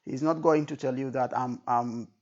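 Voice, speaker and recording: A man teaching as an exam tutor in an informal spoken lecture, with a creaky voice, repeating points and often checking that the listener follows. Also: medium pitch, fairly even intonation, normal speed, darkish tone. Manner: didactic, repetitive, checking understanding with frequent okay and right. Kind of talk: informal spoken lecture with role-played example phrases. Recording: dull lo-fi recording.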